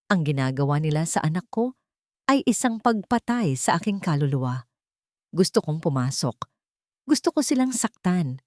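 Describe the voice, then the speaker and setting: A woman's voice: low voice, darkish tone, no room sound, clean studio sound. A woman with a Filipino accent reading a dramatic first-person story as an audiobook narrator.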